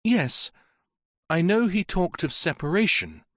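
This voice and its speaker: A man's voice: high voice, very animated, a little slow, fairly bright tone, no room sound, studio quality, pitched above the performer's own voice, exaggerated voice. A man voicing a frightened, evasive woman under police questioning, tired and plaintive, stammering as she speaks. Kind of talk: audiobook character voice.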